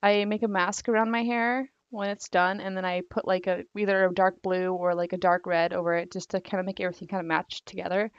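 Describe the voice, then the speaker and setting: Croaky young woman losing her voice. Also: medium pitch, level tone, brisk, few pauses, dry recording, muffled recording. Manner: rambling, filler-heavy, apologetic. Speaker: digital art tutorial host. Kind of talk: casual drawing tutorial.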